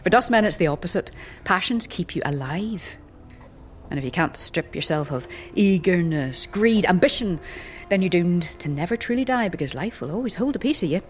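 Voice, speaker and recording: A woman's voice: fairly low pitch, animated, normal speed, close to an everyday voice. A woman playing a sardonic older seer and teacher in a fantasy audio drama, dry, wry and gossipy. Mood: mocking, sardonic. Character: sardonic older female undead-philosophy tavern seer and teacher.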